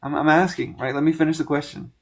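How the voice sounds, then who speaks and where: A man's voice: fairly high pitch, steady tone, fast talker. A man in his late twenties hosting a live stream, chatting casually and pressing a guest with questions, cutting in to finish his point. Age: 20s. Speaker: livestream host. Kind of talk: casual live chat and debate.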